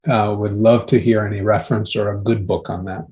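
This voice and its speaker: Guy w/ a lowish voice asking a thoughtful question at some academic talk. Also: flat delivery, fairly quick, a little mellow. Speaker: audience member asking a question.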